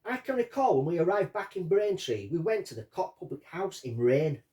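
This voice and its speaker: A man reading out a witness statement and commenting on it as a true-crime host, in deliberate short phrases. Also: a little high, sing-song, dark tone. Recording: distant from the microphone.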